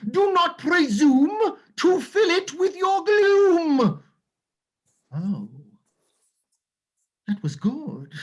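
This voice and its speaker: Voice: very high-pitched, very animated, extremely slow, pauses often, exaggerated voice. An actor playing a pompous, drunken, narcissistic owl in a stage script reading, with a florid, hammy delivery. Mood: melodramatic.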